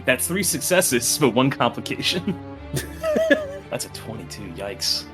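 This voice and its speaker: A man's voice: medium pitch, big pitch swings, a little slow, music in the background. A man chatting casually and swearing as a player in a tabletop roleplaying game.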